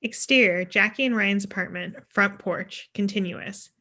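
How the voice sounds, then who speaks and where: A woman reads screenplay directions as scripted narration. Her voice is crisp and very bright, at an average pitch. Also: normal speed. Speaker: stage directions reader.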